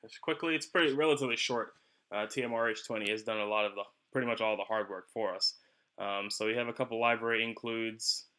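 A man's voice: lowish voice, steady tone, fast, sharp tone, clear, resonant tone. A man narrating an unscripted electronics tutorial as a hobbyist, explaining things informally and ramblingly, with "you know" sprinkled through. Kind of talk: casual unscripted tutorial narration over screen capture.

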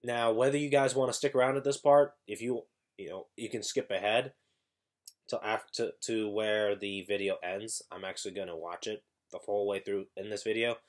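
A young man with a somewhat low voice talks casually into a webcam in a small room at home. He sounds congested from an irritated throat. He rambles, restarts sentences and keeps correcting himself. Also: steady tone, normal speed. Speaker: YouTuber. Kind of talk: casual vlog talk to camera.

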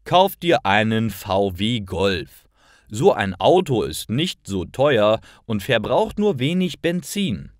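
A man voicing a helpful male friend in a learner story, speaking with assurance and clear diction. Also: lowish voice, sing-song, takes it slowly, no room sound, clean studio sound. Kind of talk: acted dialogue in a learner story.

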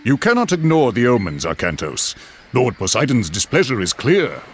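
An actor playing a grave, insistent priestly counsellor in a video game cutscene, earnest and urging. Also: male voice, medium pitch, wildly swooping pitch, normal speed, over music. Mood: grave insistence.

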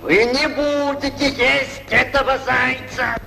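An actor playing a pompous, commanding boa constrictor who barks orders in an old animated satire. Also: male voice, very high voice, slow, vocal fry, heavily acted. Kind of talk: 1940s Soviet animated satire acting. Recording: old film soundtrack with music.

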